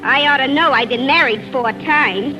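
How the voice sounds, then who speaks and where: An actress playing a bossy, wry, much-married friend in a 1940s radio drama. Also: female voice, sits high, moderate pace, music in the background, lightly acted, poor audio.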